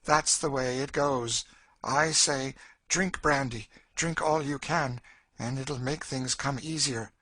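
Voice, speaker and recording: A world-weary woman, played by a male reader, speaks with bitter, fatalistic emphasis in a raised, forceful voice. She is a despairing audiobook character, dry in manner, heard close with no room sound. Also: medium pitch, steady tone, slow, dry recording, pitched above the performer's own voice. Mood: bitter, hard.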